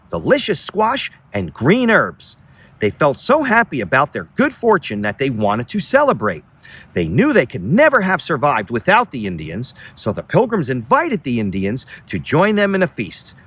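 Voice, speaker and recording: A man reading a children's story aloud outdoors in an expressive, dramatic way, with deliberate phrasing and emphatic pauses. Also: on the high side, slightly rough. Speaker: children's read-aloud reader, teacher and entertainer. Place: outdoors on a beach.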